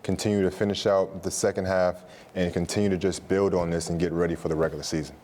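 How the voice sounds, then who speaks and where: A man's voice: deep voice, flat delivery, fast, darkish tone. An American man giving conversational sports analysis as a studio analyst, building points in short repeated phrases. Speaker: studio analyst, former college player.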